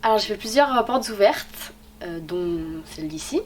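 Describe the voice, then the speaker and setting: A woman's voice: mid-range voice, animated, moderate pace, slight background noise. A young woman of eighteen, a student ambassador, chatting casually with fillers. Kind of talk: casual testimonial.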